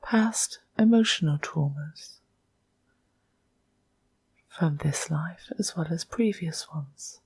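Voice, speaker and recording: A woman with a soft, breathy, whispery voice narrates a guided meditation in a hushed, measured manner, leaving long pauses between short phrases. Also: low-pitched, no echo.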